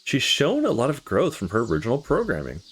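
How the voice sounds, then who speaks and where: A man reading visual novel dialogue aloud in a casual, expressive way, as a let's play host. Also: mid-range voice, fast, husky, faint music behind.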